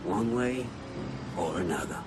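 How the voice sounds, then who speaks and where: An actor playing a gruff, world-weary, cynical older underworld veteran in a video game cutscene. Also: male voice, low voice, lively intonation, a little slow, noisy background.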